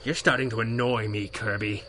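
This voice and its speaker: An actor playing an exhausted, erudite masked hero who speaks drily and formally in a radio drama. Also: male voice, on the low side, fairly even intonation, normal speed, background noise, lightly acted. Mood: strained, wry. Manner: dry, formal wording. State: weak, exhausted. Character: weakened, wry, erudite male masked hero, stubborn.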